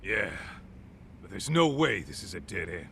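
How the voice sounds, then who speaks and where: A man voicing a tough, easygoing ex-gangster sidekick in a video game, gruff, gravelly and casually streetwise. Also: sing-song, moderate pace, a bit bright, a little hiss or hum, exaggerated voice. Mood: wary, casual. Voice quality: low, gravelly. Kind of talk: video game cutscene acting.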